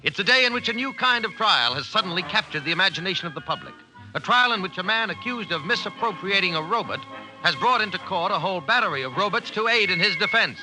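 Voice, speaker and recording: A man's voice: high voice, animated, fast, over music, rough recording. An actor playing a grave, urgent male newscaster in a 1950s radio drama. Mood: grave, dramatic.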